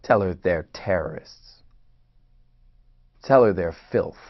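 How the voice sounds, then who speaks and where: A man's voice: low-pitched, takes it slowly, full character voice. An actor in a play reading, playing a firm, wary man in an Israeli family, on a dull recording. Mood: terse, wary.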